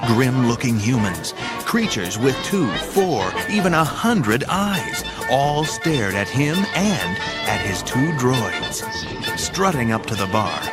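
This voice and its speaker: A man's voice: mid-range voice, sing-song, slow. A man narrating an audio drama, reading a scripted story in a dramatic style. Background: music.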